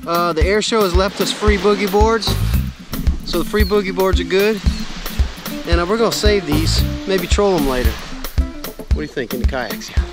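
A man's voice: high voice, moderate pace, pauses often, over loud music. A middle-aged man hosting a fishing show, narrating on the spot with enthusiastic exclamations, outdoors on a beach. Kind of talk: excited on-the-spot vlog narration. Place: outdoors on an ocean beach at dawn. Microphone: action camera worn on the body.

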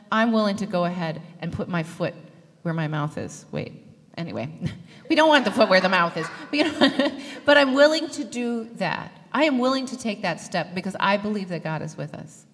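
Lively woman preaching in a reverberant church. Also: mid-range voice. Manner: lively, gestures broadly, jokes and laughs with the congregation. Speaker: pastor. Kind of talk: sermon. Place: church sanctuary. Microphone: handheld microphone. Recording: long reverb of a church hall.

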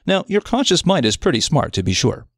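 A man reading a scripted self-development article aloud in a measured, articulate, explanatory manner. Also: fairly high pitch, very animated, dry recording, clean studio sound. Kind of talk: scripted narration of an audio article.

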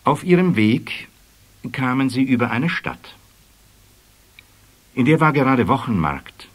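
Husky, animated male storyteller. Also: medium pitch, measured pace. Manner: expressive storytelling. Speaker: narrator. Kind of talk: scripted children's story narration.

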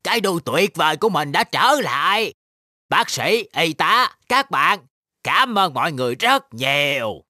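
A dramatic cartoon leopard with a highly animated, swooping voice. The words come slowly and expressively, over clearly audible children's music. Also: female voice, no echo, exaggerated voice. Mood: relieved, grateful. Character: whiny, dramatic injured leopard patient.

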